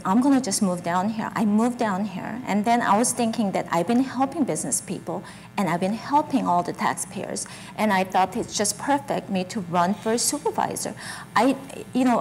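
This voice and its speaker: A woman's voice: medium pitch, slight background noise. A middle-aged woman born in Korea and raised in Japan, a political candidate speaking earnestly and defensively in a panel debate, in slightly English, on a lapel mic in a community hall. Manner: earnest, defensive, non-native phrasing. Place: community center hall with audience.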